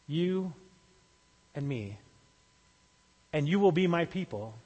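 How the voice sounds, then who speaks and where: High-voiced male pastor in a reverberant hall. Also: animated, slow, darkish tone, slightly rough, dull audio. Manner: conversational, with small restarts. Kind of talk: sermon.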